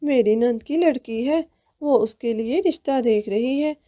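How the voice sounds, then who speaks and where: Woman voicing a high, wailing, doting old aunt bird. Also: a little slow, mellow, dry recording. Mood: nagging. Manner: wailing, dramatic, nagging. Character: fussing, scolding, doting elderly aunt bird.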